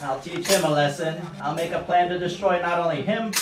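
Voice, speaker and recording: Guy doing a vengeful villain puppet, pompous n high voiced, echoey noisy room. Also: fairly quick, natural voice. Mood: angry, vengeful. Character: scheming, vengeful male villain, a royal official. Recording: noisy, roomy, distant home recording.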